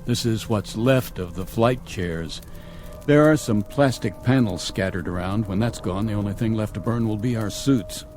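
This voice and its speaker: A man's voice: lowish voice, normal speed, a little mellow, close to an everyday voice. Man playing a stern, accusing spaceship captain. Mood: concerned. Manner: interrogating, sarcastic, commanding. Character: stern, accusing male spaceship captain, fiercely protective of crew. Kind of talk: mid-century television drama acting. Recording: music under dialogue.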